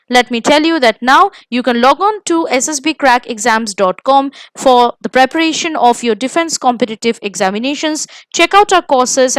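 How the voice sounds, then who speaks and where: High-pitched, expressive Indian woman presenting a lesson. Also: clean tone, dry recording. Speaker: host of an exam coaching video. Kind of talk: scripted instructional presentation.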